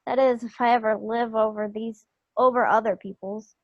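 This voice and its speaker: A woman's voice: a little high, fairly even intonation, normal speed, no room sound. A woman playing an affectionate, chatty young wartime wife in a table read of a stage play over a video call. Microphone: computer mic on a video call. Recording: dull, dry video call recording.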